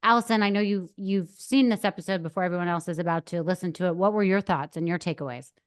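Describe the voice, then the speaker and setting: Host: a chatty, enthusiastic woman with a smooth, resonant voice. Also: fairly low pitch, fast talker. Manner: chatty and enthusiastic, many fillers. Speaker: podcast host. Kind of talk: podcast interview and intro. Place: studio in Boca.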